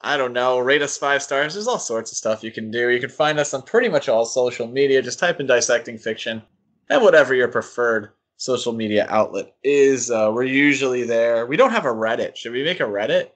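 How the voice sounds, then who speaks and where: A man's voice: medium pitch, fairly quick, a bit bright. A man hosting a podcast, chatting in a casual, conversational way. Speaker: podcast host.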